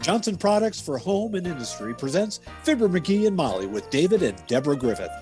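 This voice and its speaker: A man's voice: on the high side, sing-song, brisk. A man announcing an old-time radio comedy show in scripted narration. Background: music.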